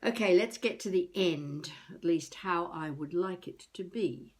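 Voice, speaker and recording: A woman's voice: on the low side, unhurried, darkish tone, natural voice. Wry elderly woman musing to herself, played by an actress. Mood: reflective, darkly comic. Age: older. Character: wry elderly woman, wannabe novelist, rambling and feisty. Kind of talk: intimate comic monologue as video diary.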